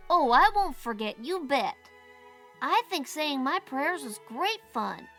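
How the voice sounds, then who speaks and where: A woman's voice: high voice, very animated, slow, fairly bright tone, faint music behind, full character voice. A woman playing a stubborn, inquisitive little boy in an audiobook, with a blunt, insistent, childish way of talking. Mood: earnest. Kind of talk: audiobook character acting.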